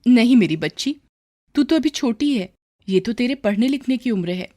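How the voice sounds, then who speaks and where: A woman's voice: fairly high pitch, expressive, normal speed, mellow, pitched above the performer's own voice, close to an everyday voice. A woman voicing a long-suffering yet outspoken bangle-selling mother in a cartoon story, plaintive and emotional. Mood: tender, sad.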